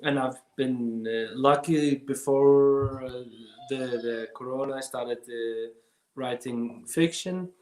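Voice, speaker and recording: Slow, halting man in his thirties from Iraq. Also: medium pitch, darkish tone, reverberant, rough recording. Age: 30s. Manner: halting, many restarts and fillers. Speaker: guest filmmaker. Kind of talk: casual interview over video call. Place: home during lockdown. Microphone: video call.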